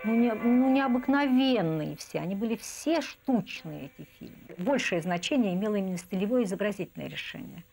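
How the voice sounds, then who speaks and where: A woman's voice: medium pitch, animated, a little fast, creaky, hardly any pauses, a little mellow. Emphatic middle-aged woman reminiscing over music. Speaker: animation professional interviewed. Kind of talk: reminiscing documentary interview. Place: indoor room, seated at table.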